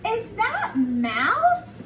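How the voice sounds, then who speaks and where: A woman voicing a big, curious, puzzled male storybook bear, calling out short drawn-out questions. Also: very high-pitched, huge pitch swings, a bit bright, voice pushed up, full character voice. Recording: echoey room, distant mic, noisy background.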